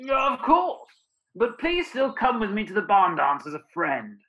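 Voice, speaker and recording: A courtly British country squire from a radio pantomime, played by a man. His voice is high and forceful, with lively theatrical swings and a softhearted gentleman's manner. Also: measured pace, creaky, full character voice. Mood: earnest, smitten.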